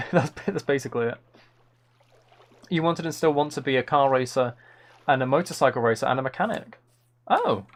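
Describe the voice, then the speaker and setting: A man's voice: mid-range voice, animated, normal speed, long pauses. A man chatting casually on a gaming livestream, rambling and often questioning himself as he goes. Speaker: livestream host. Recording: clear background noise, keyboard clicks.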